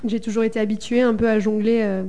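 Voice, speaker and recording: A woman's voice: fairly high pitch, level tone, fast talker, dark tone. A young woman from Germany, a singer in a folk duo, answering interview questions in a hesitant way with many fillers. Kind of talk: casual radio interview.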